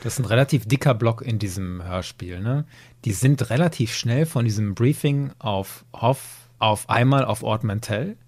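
A man's voice: medium pitch, darkish tone. A man chatting informally on a podcast, retelling a story and checking in with his partner. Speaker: podcast co-presenter.

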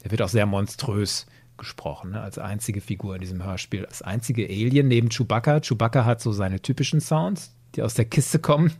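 A man's voice: on the low side, a little fast, darkish tone. A man chatting informally on a podcast, retelling a story and checking in with his partner. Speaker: podcast co-presenter.

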